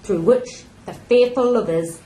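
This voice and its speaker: A woman playing a solemn, literal-minded talking wall in an amateur Shakespeare radio play, reciting verse deliberately. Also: a little high, dark tone, close to an everyday voice. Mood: solemn announcing. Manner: deliberate, declamatory verse delivery. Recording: echoey room with background noise.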